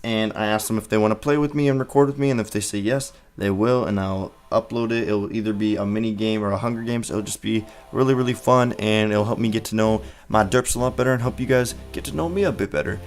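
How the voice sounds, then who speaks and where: A man's voice: on the low side, fast, few pauses, soft background music. Chatty teenage man on a headset mic. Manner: chatty, rambling answers with fillers. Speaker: gaming channel host. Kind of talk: casual Q and A video. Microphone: gaming headset mic.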